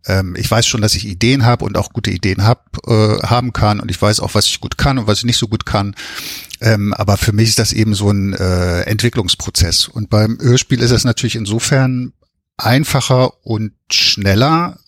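Modest man with a low, creaky voice. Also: normal speed, no room sound, clean studio sound. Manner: modest, candid, down-to-earth. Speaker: interview guest, author. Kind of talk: casual conversational interview answers.

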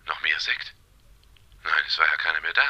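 A very low, sharp-edged male voice through a telephone. He is brusque and grudging, a husky, dismissive man in a German radio play. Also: completely flat delivery, moderate pace, lo-fi sound, full character voice. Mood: defensive.

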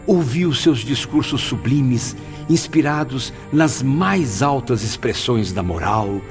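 A man's voice: mid-range voice, expressive, takes it slowly, faint music behind. An actor playing a grateful, solemn male spirit in a radio drama, speaking in a florid, declamatory way. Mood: awed, solemn. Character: Camilo, grateful, solemn male spirit recalling his past.

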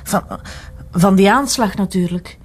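An actress playing an evasive, nervous accomplice in an audio drama, hesitant and defensive, with a Flemish accent. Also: female voice, mid-range voice, unhurried, natural voice. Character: evasive, nervous young female accomplice under interrogation.

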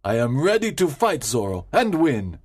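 A man voicing a boastful, loud army sergeant in a read-aloud story, slow and emphatic. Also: mid-range voice, sing-song, breathy edge.

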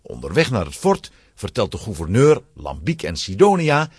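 Husky, very animated male storyteller. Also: fairly low pitch, normal speed, dry recording, studio quality. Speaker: narrator. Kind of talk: dramatic scripted children's story narration.

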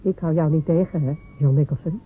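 A woman's voice: deep voice, a little fast, breathy edge. A woman playing proud, waiting wife Jemima. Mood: firm. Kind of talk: radio play acting. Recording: narrow phone-like radio recording with faint hiss.

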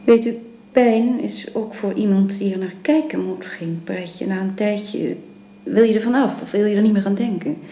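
A woman's voice: mid-range voice, moderate pace, mellow, reverberant, slight background noise, natural voice. A woman acting in a radio drama as a bedridden, ailing young wife, bitter and weary, with a pointed way of talking. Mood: bitter, resigned.

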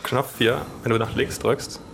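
A man's voice: mid-range voice, level tone, a little slow, vocal fry, noisy background. A young man co-hosting a podcast, chatting casually and laughing often. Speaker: podcast co-host. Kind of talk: casual banter.

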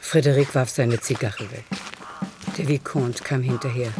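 A man's voice: mid-range voice, fairly even intonation, moderate pace, noisy background. A man narrating a literary radio drama from a script.

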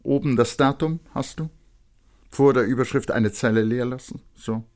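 A man's voice: mid-range voice, normal speed, a little mellow, voice pushed up, lightly acted. A man voicing a stern, cold mother who gives her young son hard, clipped orders in a literary reading. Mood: hard dictating. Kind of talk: literary audiobook character reading.

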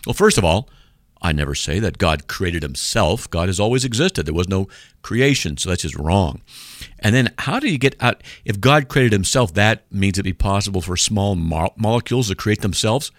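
Deep-voiced joking radio host. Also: male voice, lively intonation, normal speed, no echo, studio quality. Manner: joking, sarcastic. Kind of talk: call-in radio talk.

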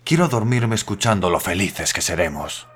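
A man's voice: deep voice, expressive, moderate pace, breathy edge. An actor playing a hopeful young working-class husband who teases his wife affectionately in a radio drama. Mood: fond. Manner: affectionate, joking.

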